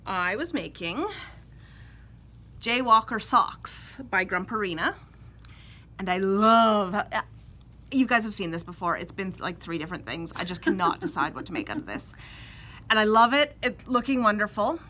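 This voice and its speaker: A woman's voice: medium pitch, animated, moderate pace, bright, forceful tone. A Canadian woman co-hosting a knitting podcast, chatting casually and laughing often as she tells stories. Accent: Western Canadian. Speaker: podcast co-host. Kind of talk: casual chat.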